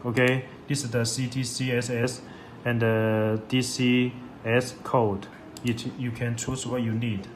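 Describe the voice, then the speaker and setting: Male product demonstrator, halting non-native English, instructional step-by-step delivery, roomy sound with clear background noise. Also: mid-range voice, level tone. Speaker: product demonstrator for a radio seller. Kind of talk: step-by-step software tutorial. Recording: echoey room, loud background noise.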